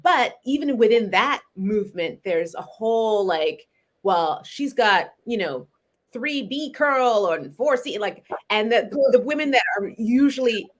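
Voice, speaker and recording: Chatty, animated woman novelist. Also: fairly high pitch, a little slow. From Montreal, Canada. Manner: chatty and animated, laughs often, frequent restarts. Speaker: novelist guest. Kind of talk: relaxed conversational interview.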